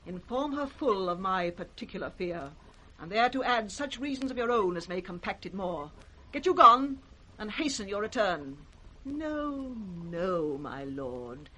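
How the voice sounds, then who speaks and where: A woman's voice: medium pitch, big pitch swings, measured pace, bright, forceful tone, heavily acted. An actress playing an imperious, scornful, scheming British noblewoman in 1960s radio Shakespeare on a noisy vintage recording.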